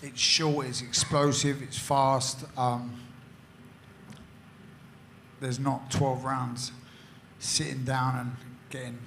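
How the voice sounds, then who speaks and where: London fighter, flat halting self-introduction. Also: male voice, medium pitch, slight background noise. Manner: plain, halting, repeats words. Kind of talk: self-introduction at a press conference.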